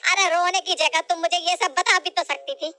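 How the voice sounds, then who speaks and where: A woman plays a stern middle-aged mother-in-law who scolds sharply and makes demands, in a storybook tale. The voice sits high and bright, and soft music plays underneath. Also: moderate pace. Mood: concerned. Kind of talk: storybook character voice.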